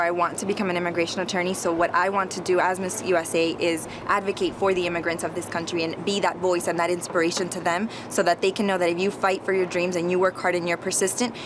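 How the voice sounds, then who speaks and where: Flat-voiced fast young woman. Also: on the low side. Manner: earnest, rehearsed. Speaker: pageant contestant. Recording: background noise.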